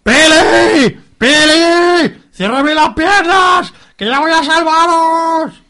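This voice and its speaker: A man's voice: high-pitched, quick pace, a bit bright. A man playing a brash, impulsive young lover in a comic radio soap, blunt and melodramatic. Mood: desperate.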